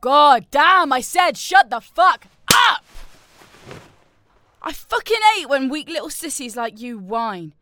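A young woman playing a domineering, cruel bully in an audio roleplay, taunting and crude, swinging between shouts and close whispers. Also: on the high side, lively intonation, normal speed, hardly any pauses, natural voice. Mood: furious.

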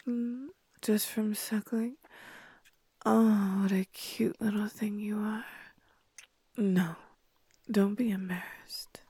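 A doting, motherly winged creature with a tail, played by a woman whispers in a husky, breathy hush, slow and coaxing. Her voice is dark and mellow with a gravelly edge, close and dry. It is an intimate ASMR roleplay. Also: medium pitch, no echo, full character voice. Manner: soft, soothing, coaxing whisper.

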